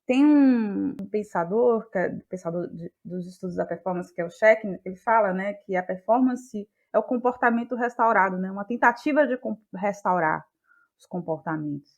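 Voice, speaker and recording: A woman with a Bahian Brazilian accent, speaking as an interview guest in a reflective, conversational way, often halting to search for words. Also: medium pitch, muffled recording. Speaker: guest, playwright and actress.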